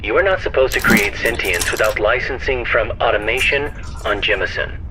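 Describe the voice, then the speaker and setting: A man's voice: fairly low pitch, a little fast, noisy background, natural voice. A man playing a formal, literal-minded and dutiful small robot crewmate in a science fiction audio drama.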